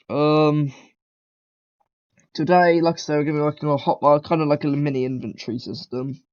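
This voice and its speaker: A man's voice: a little high, fairly even intonation, moderate pace, long pauses, darkish tone, lo-fi sound. A man, talking casually through a beginner coding tutorial, rambling and correcting himself as he goes. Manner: rambling, self-correcting, lots of ums and throat clearing. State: has a cold. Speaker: tutorial maker.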